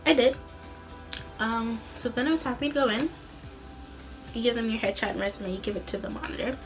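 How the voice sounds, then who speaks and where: A woman's voice: fairly high pitch, brisk. Chatty young woman telling a story over music. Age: young adult. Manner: chatty, rambling, informal. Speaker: vlogger, student singer. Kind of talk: casual storytelling vlog.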